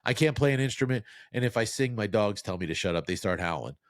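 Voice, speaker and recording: A man's voice: lowish voice, animated, fast, no room sound, studio quality. A middle-aged man from New Jersey, a podcast co-host telling long, self-mocking stories in casual chat and quoting people in put-on voices.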